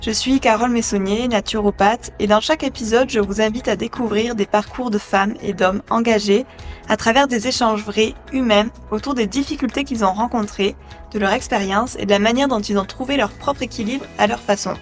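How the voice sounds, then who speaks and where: Higher-voiced woman hosting a podcast. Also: steady tone, a little fast, soft background music. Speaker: podcast host, naturopath. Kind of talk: scripted intro then interview questions.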